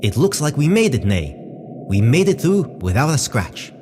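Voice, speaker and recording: A man voicing a calm, formal and exact spaceship computer in a sci-fi audio drama. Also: mid-range voice, normal speed, big-room sound, a little hiss or hum, close to an everyday voice. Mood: composed. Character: calm precise male spaceship artificial intelligence.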